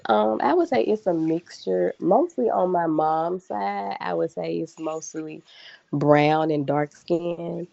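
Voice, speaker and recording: A woman in her early thirties, a guest on a casual group podcast over a call, speaking in a slow, relaxed, thoughtful way. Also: deep voice, gravelly, dry recording, lo-fi sound. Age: 30s.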